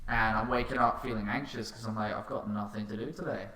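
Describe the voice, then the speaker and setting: A man's voice: on the low side, steady tone, fast, sharp tone. A young man chats informally, like a guest spinning a story among friends. His voice sits in a roomy space, with noticeable noise behind it. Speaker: podcast guest. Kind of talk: casual chat, anecdotes. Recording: roomy sound with clear noise.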